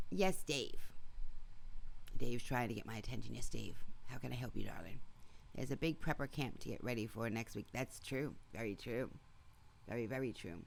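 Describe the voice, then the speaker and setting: A woman's voice: rumbling low, vocal fry. A woman hosting a casual morning livestream, chatting and rambling with viewers by name while she is losing her voice. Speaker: livestream host. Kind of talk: casual chat with live viewers.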